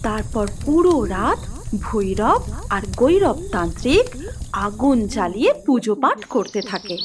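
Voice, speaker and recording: A woman narrating a scripted cartoon fairy tale as a storyteller. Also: on the high side.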